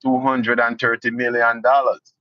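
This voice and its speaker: A man's voice: mid-range voice, completely flat delivery, normal speed, no echo. A Jamaican man giving casual political commentary, indignant and sarcastic. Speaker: vlogger. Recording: dull lo-fi dry sound.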